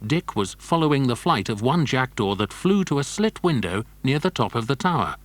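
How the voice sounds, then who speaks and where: A man tells a scripted children's story as narrator. His medium-pitched voice rises and falls in a lively way. The sound is close and dry. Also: moderate pace, dry recording.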